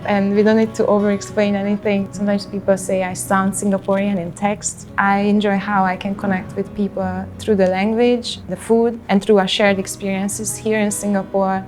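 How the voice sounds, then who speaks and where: A woman in her thirties speaks with a Hungarian accent at a medium pitch. She sounds reflective and takes her time, as if in a portrait interview. Light music plays behind her voice. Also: flat delivery, normal speed, few pauses, darkish tone. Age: 30s. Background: background music.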